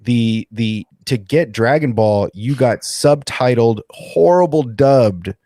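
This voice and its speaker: A man's voice: medium pitch, expressive, slow, mellow, dry recording, clean studio sound. Enthusiastic forty-year-old man, slangy. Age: 40s. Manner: enthusiastic, slangy, fan talk. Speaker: podcast panelist. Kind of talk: casual podcast chat.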